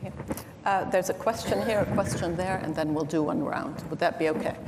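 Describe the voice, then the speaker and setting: A woman moderating a panel, briskly organizing audience questions. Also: lowish voice, very noisy. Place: large conference hall. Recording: long hall reverb, faint ambience.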